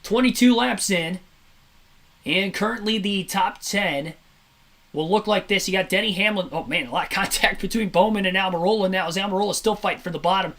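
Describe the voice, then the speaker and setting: A man with a hoarse, slightly nasal and raspy voice from sinus congestion, giving energetic, chatty play-by-play commentary on a race from a home streaming setup. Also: high voice, fairly quick. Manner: energetic, chatty, self-correcting. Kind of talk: amateur play-by-play commentary over a race broadcast.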